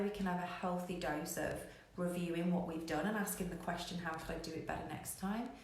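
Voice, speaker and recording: A woman giving a candid live coaching talk to online viewers, emphatic and repeating phrases to drive points home. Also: fairly low pitch. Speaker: business coach hosting a live online show. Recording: very echoey room, faint noise.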